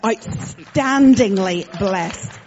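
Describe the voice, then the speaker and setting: A woman's voice: mid-range voice, lively intonation, drawn-out words, highs cut off, slight background noise. A woman with an Irish accent, a guest preacher giving a conversational sermon, chatty and joking with frequent fillers. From Ireland.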